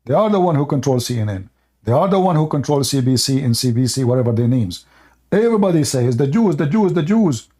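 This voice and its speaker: A man with an Arabic accent lectures a caller in a heated online debate, pressing his point again and again. His voice is dark and mellow, sitting at an ordinary pitch for a man. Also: expressive, fairly quick. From Middle East. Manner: insistent, lecturing, repeats phrases. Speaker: online channel host. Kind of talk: heated live call debate.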